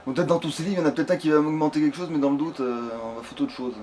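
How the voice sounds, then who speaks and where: Guy doing a let's play, highish voice, rambles and swears a lot, noisy background. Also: very fast, darkish tone. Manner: crude, swearing freely. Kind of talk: casual rambling gameplay commentary.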